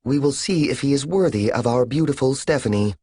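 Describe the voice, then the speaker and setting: A man reading an audiobook, voicing a frail older mother whose words come through a voice modulator, calm, even and pleasant. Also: mid-range voice, brisk, husky, no echo, studio quality, voice pushed up, natural voice.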